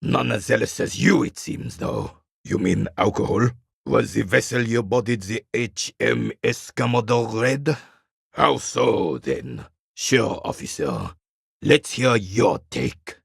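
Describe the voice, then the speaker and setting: A proud, embittered old soldier from a video game. His voice is heavily creaky and husky, with curt, scornful delivery that rises and falls in animated swings. He sounds dismissive and full of contempt for weaklings. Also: lowish voice, takes it slowly, no room sound, clean studio sound, full character voice. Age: older. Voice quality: gravelly, raspy. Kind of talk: video game character dialogue.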